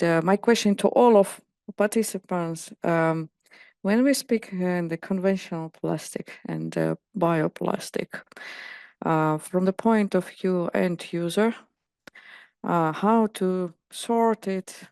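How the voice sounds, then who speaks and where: A woman from Finland asking a question in an online workshop, pausing often with fillers. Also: on the low side, gravelly, a little mellow, no echo. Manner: hesitant, many fillers. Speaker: workshop participant.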